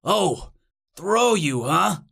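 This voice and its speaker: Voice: mid-range voice, very animated, drawn-out words, gravelly, fairly bright tone, slightly rough, full character voice. Performer voicing a hesitant, insecure little teen demon boy. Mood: sheepish. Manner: hesitant, rambling, self-doubting. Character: insecure, slangy little teen demon, male, cowardly but kind.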